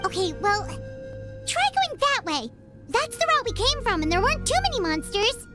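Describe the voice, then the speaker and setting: Earnest little fairy sidekick for a game, squeaky and sky-high, over loud music. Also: female voice, big pitch swings, normal speed. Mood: encouraging. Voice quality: squeaky, childlike. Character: small excitable childlike fairy companion, urgent and earnest. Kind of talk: video game voice acting.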